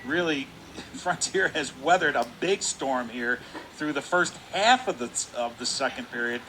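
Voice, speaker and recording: A man giving relaxed radio color commentary on a hockey game, trailing off into fillers as he talks. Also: medium pitch, measured pace, slight background noise, rough recording. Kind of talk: casual analysis. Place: hockey arena broadcast booth.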